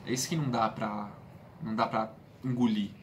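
A man's voice: lowish voice, fairly even intonation, brisk, dull audio. Earnest young Brazilian man, noisy room. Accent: Brazilian Portuguese. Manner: earnest, emphatic repetition of phrases. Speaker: football fan channel host. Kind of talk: casual vlog monologue. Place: small bedroom, indoors. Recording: clear background room noise.

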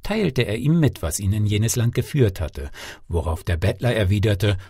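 A man tells a fairy tale in a low, husky voice with a mellow tone. His scripted audiobook delivery is theatrical and deliberately phrased. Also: animated, no room sound, studio quality. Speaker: fairy-tale narrator, actor. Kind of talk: expressive scripted audiobook storytelling.

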